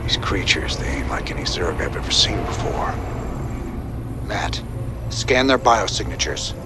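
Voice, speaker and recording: An actor playing a laconic, wry middle-aged rebel commander in a video game, with a gruff Southern American drawl. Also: male voice, lowish voice, animated, unhurried, background noise. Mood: wary curiosity. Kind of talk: video game cutscene acting. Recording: music behind the voice.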